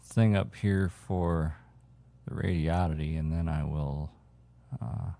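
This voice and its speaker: A man hosting a casual radio hobby chat, thinking aloud in a halting way. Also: very deep voice, fairly even intonation, gravelly, dark tone. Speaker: radio hobbyist host showing viewers CB radio gear.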